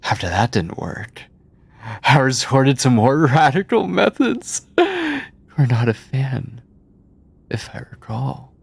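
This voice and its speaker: A man playing an obsessive mad scientist captor in a horror ASMR roleplay, coldly doting and clinical, with condescending endearments, theatrical pauses and a breathy, husky voice. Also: mid-range voice, big pitch swings, full character voice. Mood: gloating, fascinated. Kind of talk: horror ASMR roleplay, intimate monologue to listener.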